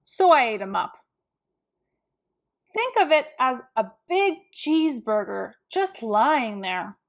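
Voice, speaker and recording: A woman voicing a wolf who tells his side of a children's storybook in an expressive, conversational, self-justifying way. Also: on the high side, takes it slowly, exaggerated voice. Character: defensive, folksy wolf telling his side. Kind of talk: first-person storybook read-aloud for children.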